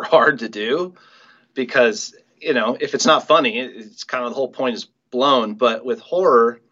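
A man's voice: mid-range voice, fairly bright tone. A middle-aged man talking casually as an interview guest, reflective and often qualifying what he says. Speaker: guest, audio play writer and director. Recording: slightly dull, lo-fi sound.

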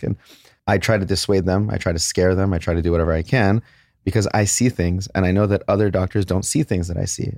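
Man, deep voice, blunt and candid, casual interview talk, dry close sound. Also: darkish tone, no echo. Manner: blunt, candid, with crude jokes and laughs. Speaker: plastic surgeon.

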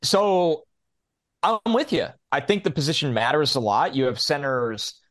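A man's voice: fairly high pitch, sing-song, unhurried, a bit bright. A man co-hosting a sports podcast, giving his opinions on football in a casual, conversational way.

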